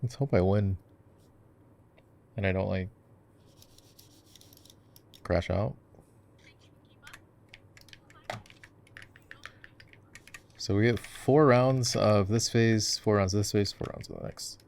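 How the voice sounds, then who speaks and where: A man's voice: lowish voice, measured pace, vocal fry, pauses often, darkish tone. A man playing an easygoing, affectionate gamer boyfriend in a casual ASMR roleplay, rambling and musing aloud as he guides his partner through a game. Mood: musing, playful. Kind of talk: casual ASMR roleplay chat while gaming.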